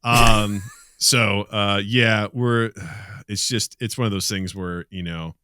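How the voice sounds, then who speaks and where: A man's voice: on the low side, fairly even intonation, takes it slowly, bright, forceful tone, clear, resonant tone, dry recording, studio quality. A middle-aged man co-hosting a casual podcast, venting with swearing and acting out imagined exchanges. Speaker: podcast co-host.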